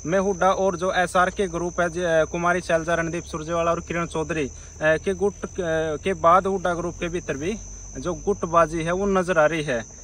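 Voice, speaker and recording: A man presenting an informal news report to viewers in a halting, repetitive way with frequent hesitations. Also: fairly high pitch, steady tone, a bit bright, slight background noise, rough recording.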